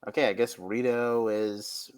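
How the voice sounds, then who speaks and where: A man's voice: on the low side, fairly even intonation, unhurried, smooth. A man hosting a podcast in a casual, conversational style. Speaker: podcast host.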